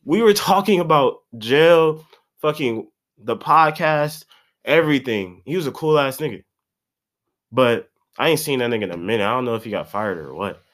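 A man's voice: medium pitch, lively intonation, normal speed, a bit bright. A man of about twenty, congested, rambling in a slangy, profane solo podcast monologue. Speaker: podcast host.

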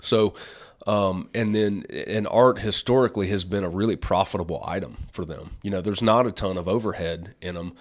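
A man in his forties with a hoarse voice from a cold, hosting a casual podcast chat and rambling with many fillers. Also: sits low, normal speed. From Illinois. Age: 40s. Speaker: podcast host, wildlife artist.

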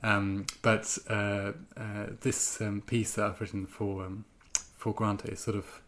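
Man from Germany, somewhat low voice, halting interview answers, steady reading. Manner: hesitant, many ums and restarts when speaking freely. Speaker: guest writer. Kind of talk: interview answers and reading from his own piece.

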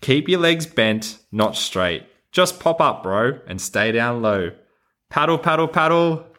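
A man's voice: mid-range voice, very animated, slow, bright, forceful tone. An Australian man who hosts a podcast, talking casually and reading a children's storybook in a playful, encouraging way. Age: adult. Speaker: podcast host.

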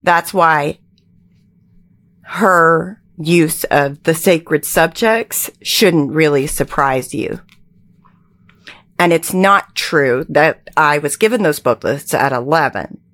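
A young adult woman whose first language is Pennsylvania Dutch, giving candid personal testimony as an interview guest in a measured, quietly emphatic way. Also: deep voice, dry recording.

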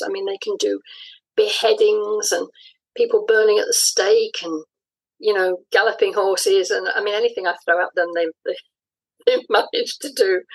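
Older lady in her 60s chatting in an interview, bit husky, thinks things through as she explains. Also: mid-range voice, low-quality recording. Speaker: interview guest, audio drama writer. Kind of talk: reflective conversational interview.

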